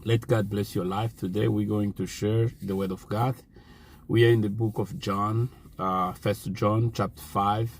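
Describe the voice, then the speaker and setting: Slow, gravelly preacher. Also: male voice, fairly low pitch. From Angola. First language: Portuguese. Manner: slow, deliberate preaching with repeated refrains. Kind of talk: online sermon.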